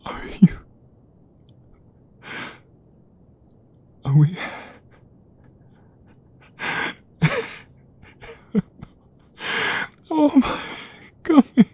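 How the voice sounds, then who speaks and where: A man playing a tender, devoted king in a romantic ASMR audio roleplay, speaking intimately with a soft, breathy voice. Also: very high-pitched, huge pitch swings, exaggerated voice. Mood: overjoyed. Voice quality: breathy, soft, often whispered. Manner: slow, intimate, emotive delivery. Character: tender, devoted young king in love with his queen.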